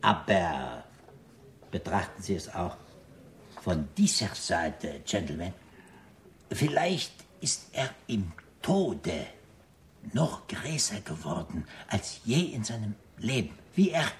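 An actor playing a self-important restaurant owner in a radio drama, florid and ceremonious, with an unctuous, sinister charm. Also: male voice, low-pitched, sing-song, takes it slowly, slight background noise, exaggerated voice. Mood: mock solemn, sinister. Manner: florid, ceremonious, repeats polite phrases. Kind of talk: German radio drama acting.

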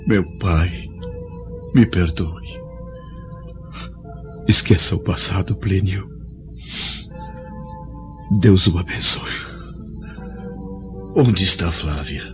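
Deep, slow, repentant Roman nobleman. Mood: contrite. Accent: Brazilian. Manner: earnest, romantic, theatrical. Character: repentant Roman nobleman, ardent returning husband. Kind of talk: radio drama acting. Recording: dull lo-fi audio, music clearly behind.

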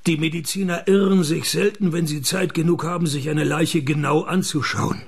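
A man playing a laconic, deadpan private detective who narrates and questions in a radio crime play, with a gravelly voice. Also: fairly high pitch, moderate pace, no room sound. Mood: probing, wry. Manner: deadpan, dryly ironic. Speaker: lead actor and first-person narrator. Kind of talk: German-language radio crime play, dry noir narration.

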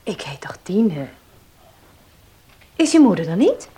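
A young pastor's wife in a radio drama. She is earnest and concerned, with a probing way of speaking. The voice is a little rough-edged and husky, at an ordinary female pitch. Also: big pitch swings, slow, warm tone, heavily acted. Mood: polite, curious. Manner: sincere, probing. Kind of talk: Dutch radio play acting.

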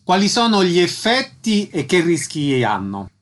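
A man's voice: sits high, big pitch swings, a little slow. A man working as a radio interviewer, reading prepared questions and announcements.

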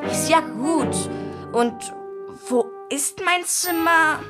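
A woman's voice: high voice, slow pace, music in the background. A woman playing a cheeky, cocky young boy in a German children's radio play, brash and flippant.

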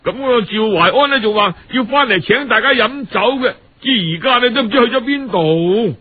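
A man's voice: very high voice, animated, slightly rough, narrow, tinny audio. A man with a Cantonese accent telling a story in a dramatic, colloquial radio audiobook style. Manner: dramatic, colloquial, with drawn-out phrase-end particles.

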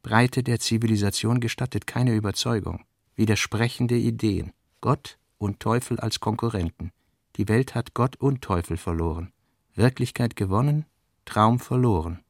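A man narrating a radio play, reading fragmentary notes in a measured, clipped way. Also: fairly low pitch. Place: radio studio.

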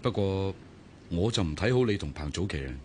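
A worldly middle-aged Hong Kong Cantonese brother-in-law in a radio drama. He gives advice in a calm, fatherly way. His low voice moves lively over a faint noise. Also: a little fast. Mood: advising. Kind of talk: 1990s radio drama acting.

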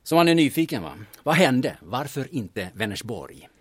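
An actor playing a brash, flirtatious man from the big city who talks in a smooth, cheeky, insinuating way in an audio drama. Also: mid-range voice, big pitch swings, no room sound. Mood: wry, pointed. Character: brash, flirtatious Stockholm man posing as auction-house buyer.

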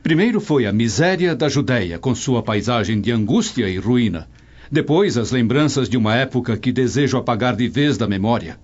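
A man plays a brooding Roman nobleman in a radio drama. His middle-pitched voice is a little rough and formally earnest, recorded somewhat dully with music beneath. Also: animated, normal speed, darkish tone. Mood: troubled, uneasy. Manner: formal, earnest, theatrical diction. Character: troubled, apprehensive Roman nobleman, brooding and courteous. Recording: dull audio over music bed.

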